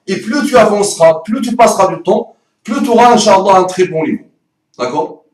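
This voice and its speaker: Man with an Arabic accent, not a native French speaker, rolled R's, playful and emphatic teacher's pitch; high voice, echoey room, set back from the mic. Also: brisk, a little mellow, far from the mic. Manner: playful, emphatic, rhetorical questions. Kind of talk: promotional course pitch.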